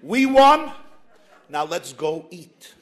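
High-voiced man preaching through a story. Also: slow pace. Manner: animated, piling up lists with rising energy. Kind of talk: storytelling sermon.

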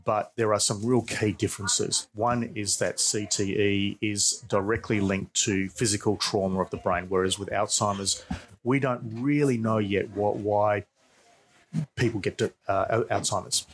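A middle-aged Australian man with a fairly low voice. He speaks as an expert guest, explaining his field in an informal, conversational way. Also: steady tone, moderate pace. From Perth, Australia. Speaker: expert guest, professor and researcher.

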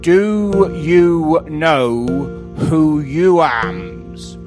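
Very slow, forlorn pirate-voiced Green Man. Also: a little high, lively intonation, music in the background. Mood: forlorn, brooding. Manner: deliberate, declamatory, with mangled grammar. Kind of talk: slow theatrical monologue.

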